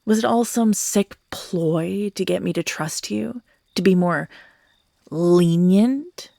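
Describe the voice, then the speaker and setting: A woman's voice: lowish voice, slow pace, creaky, dark tone, no echo, studio quality, exaggerated voice. A woman playing an obsessive, manipulative young kidnapper in a dramatic audio roleplay, theatrical, with sighs, whispers and outbursts. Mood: hurt, pleading. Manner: theatrical, swinging between whispers and outbursts, sighing.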